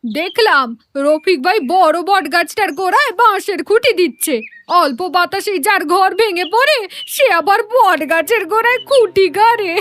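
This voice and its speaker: A woman's voice: very high voice, animated, moderate pace, a bit bright. A woman voicing a quarrelsome, mocking village wife in a moral-story cartoon, with a scolding, sarcastic manner. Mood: taunting.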